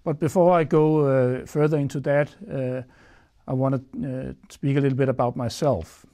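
A man's voice: medium pitch, level tone, normal speed, warm tone. A man, a chief executive giving an earnest corporate address with frequent fillers, recorded on a lapel mic in a studio. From Denmark. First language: Danish. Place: studio against a dark backdrop.

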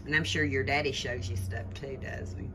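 Low-voiced older woman behind the camera. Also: steady tone, moderate pace, background noise. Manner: gentle, coaxing, asks tag questions. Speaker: vlogger filming a grandchild cooking. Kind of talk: casual chat behind the camera. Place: home kitchen, indoors. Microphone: phone camera held by the speaker. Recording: kitchen clatter of pots and dishes.

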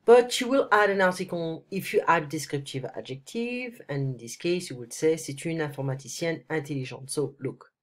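Woman, deep voice, stuffy cold, patient tutor, screen-recorded lesson. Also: expressive, normal speed, few pauses. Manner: switches between French and English, explaining patiently with frequent "ok?". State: has a cold, stuffy nose. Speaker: French tutor. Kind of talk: one-on-one screencast language lesson. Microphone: computer mic, voice-over screen recording.

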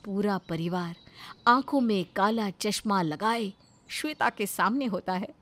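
A woman's voice: medium pitch, a little slow, a bit bright. A woman narrating a scripted story as its storyteller.

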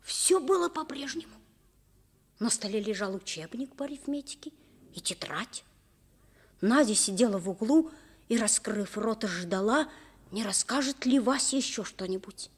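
An actress playing an impulsive, emotional schoolboy with a childlike, pleading delivery in a Soviet radio play. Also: female voice, fairly high pitch, lively intonation, moderate pace, husky. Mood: storytelling. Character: schoolboy Dimka, impulsive fourth-grade would-be sailor. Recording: reverberant vintage studio radio recording.

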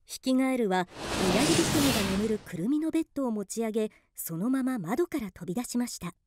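High-pitched, expressive woman narrator. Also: fairly quick, heavy background noise. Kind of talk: scripted storybook narration for radio drama.

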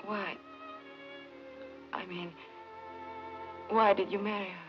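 A woman's voice: medium pitch, little pitch movement, takes it slowly, faint music behind, natural voice. A woman acting an earnest, caring scientist in a 1950s film drama. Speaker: film actress. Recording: dull, hissy vintage film soundtrack.

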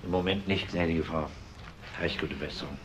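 An actor playing an easygoing, polite but probing police detective in a German crime radio drama, casual and understated. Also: male voice, sits low, moderate pace, slight background noise, natural voice.

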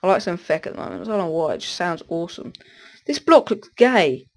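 A man's voice: high voice, very animated, brisk. A teenage boy with a stuffy cold, rambling through casual gameplay commentary and often breaking off mid-sentence. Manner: rambling, self-interrupting, distracted. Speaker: gaming commentator.

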